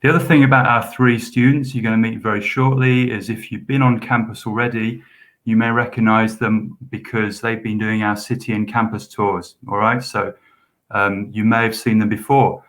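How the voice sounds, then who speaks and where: A man's voice: fairly low pitch, flat delivery, normal speed, a bit bright, poor audio. A man, a university student support officer, hosting a live Q&A session with frequent ums and restarts. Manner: rambling, hesitant, with many ums and repeated hopes.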